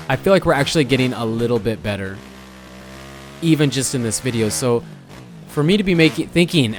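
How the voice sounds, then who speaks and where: A young man with a hoarse, fading voice, chatting casually as he comments on his own gameplay, often stopping to correct himself. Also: mid-range voice. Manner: rambling, self-correcting, chatty. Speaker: gaming channel host.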